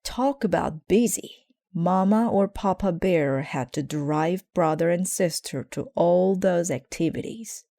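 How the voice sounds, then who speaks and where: Slow-paced woman reading a children's story. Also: on the low side, dark tone, no echo. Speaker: storybook reader. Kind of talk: scripted children's story narration, read aloud.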